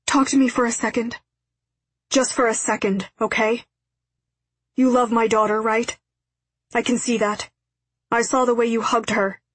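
Woman acting a desperate, breathless pleading father, pitched high. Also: level tone, a little slow, pauses often, slightly rough, no room sound. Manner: pleading, breathless, repetitive outcries. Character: desperate, terrified, tearful father pleading for forgiveness. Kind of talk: audiobook character acting.

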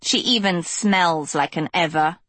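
A woman's voice: on the low side, measured pace, a bit bright, no echo. A woman voicing a sour, sneering teenage villain girl in a storybook, with a cutting, contemptuous manner. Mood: sour contempt.

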